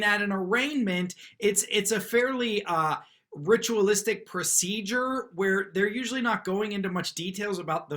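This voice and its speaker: A man's voice: high voice, pushed and bright. A man giving casual, chatty, off-the-cuff commentary on a news clip as a lawyer hosting his own show. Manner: informal, chatty. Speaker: lawyer commentator, channel host.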